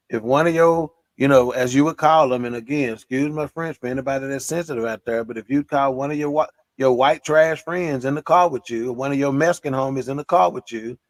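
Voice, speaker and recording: A man co-hosting a film podcast, talking in a slangy, animated storytelling way. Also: medium pitch, fairly quick, no room sound. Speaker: podcast co-host. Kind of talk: casual discussion.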